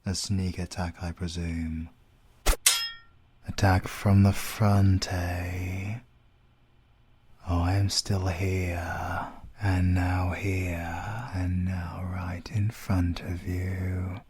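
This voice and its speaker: A British man playing a menacing supernatural interrogator in an ASMR audio roleplay, coldly polite and deliberate, with a breathy, gravelly, often whispered voice. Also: sits low, flat delivery, darkish tone, a little hiss or hum. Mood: taunting. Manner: slow, deliberate, mockingly courteous. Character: menacing supernatural male villain, clawed soul-taking interrogator, coldly polite.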